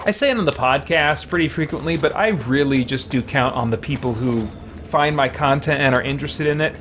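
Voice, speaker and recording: A man's voice: mid-range voice, a little fast, fairly bright tone, slight background noise. A man chatting casually with viewers as he plays a game on a livestream, rambling in a playful, self-deprecating way. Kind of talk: casual gameplay chat with viewers. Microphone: streaming setup, headphones on. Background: game music.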